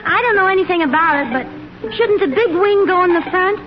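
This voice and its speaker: An actress playing a calm American wife and mother, gently skeptical, in an old-time radio sitcom. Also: very high voice, brisk. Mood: puzzled. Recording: muffled, very noisy old radio, music behind.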